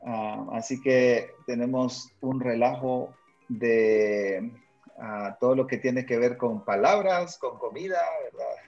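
A man's voice: mid-range voice, slow pace, darkish tone, soft background music. Man, 30s, raised in Honduras, missionary guest, chatty, lots of "ah" fillers, muffled lo-fi sound. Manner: chatty, many "ah" fillers, laughs easily. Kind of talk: relaxed personal storytelling.

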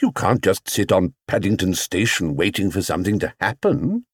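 A man's voice: low-pitched, big pitch swings, breathy edge, no echo, clean studio sound, exaggerated voice. Guy doing a flustered proper husband in a storybook, umming and doubtful, voice jumping up and down. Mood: concerned. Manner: doubtful, halting, anxious. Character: hesitant, proper, easily embarrassed middle-class husband.

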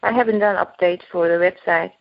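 A Korean-born woman with an accent mixing Korean, German and British, praying aloud and chatting as a guest minister with many halting restarts. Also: on the low side, steady tone, gravelly, no room sound. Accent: Korean mixed with German and British. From South Korea. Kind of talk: spoken prayer and podcast chat. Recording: dull, dry podcast audio.

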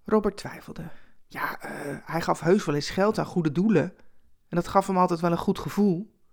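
A woman narrating a scripted story expressively, with conversational asides and dramatic pauses. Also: on the low side, creaky, a little mellow.

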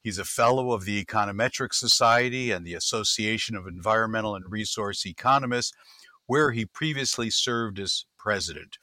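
A male podcast host introduces his guest and leads the interview. His fairly low voice has a bright edge and sounds close and dry. He listens attentively and keeps things moving with short questions and mm-hmms. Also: fairly quick, dry recording.

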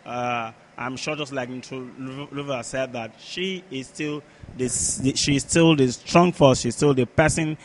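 A man giving conversational sports analysis as a podcast pundit, halting and repetitive as he searches for words. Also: mid-range voice, fairly even intonation, a little hiss or hum.

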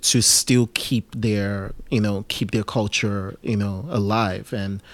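A man hosting a casual interview podcast, rambling with many fillers and restarts and lively asides. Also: on the low side, slow, no room sound. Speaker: podcast host.

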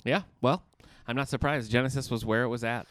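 A man's voice: medium pitch, fairly quick, a bit bright, no echo, studio quality. A man in his thirties co-hosting a casual chat, joking and rambling with filler restarts. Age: 30s. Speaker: podcast co-host.

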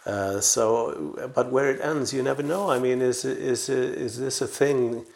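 A man's voice: mid-range voice, steady tone, unhurried, creaky, darkish tone. A man in his sixties from Sweden speaking as an interview guest, telling anecdotes conversationally with hesitant, repeated words. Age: 60s. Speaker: interview guest, veteran publisher and editor.

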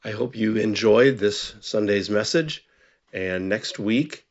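A man preaching a sermon as a pastor, with measured, deliberate phrasing, emphatic rises and falling sentence endings. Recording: very echoey church, distant mic, muffled, faint rumble.

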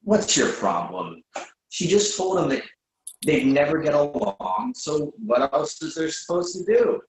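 A man's voice: fairly high pitch, very animated. Man playing an exasperated friend, roomy echo. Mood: dismissive. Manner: hesitant, halting, incredulous. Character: exasperated, reluctant male friend, sensible but awkwardly polite. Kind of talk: readers theatre comedy dialogue.

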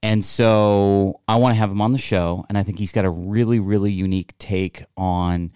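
A man's voice: lowish voice, steady tone, moderate pace, darkish tone, clean tone, dry recording, clean studio sound. A man hosting an interview show in a conversational style. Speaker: podcast host.

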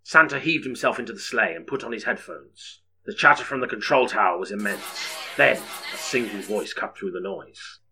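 A man's voice: lowish voice, expressive, moderate pace, few pauses, pushed and bright, a little hiss or hum, low-quality recording. A man narrating an audiobook story in a dramatic, scripted storytelling style.

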